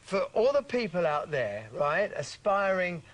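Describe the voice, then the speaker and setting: A man's voice: high-pitched, unhurried. A man hosting a TV chat show in a camp, jokey way, flamboyant and teasing, often tripping over his words. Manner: flamboyant, teasing and excitable, with frequent restarts.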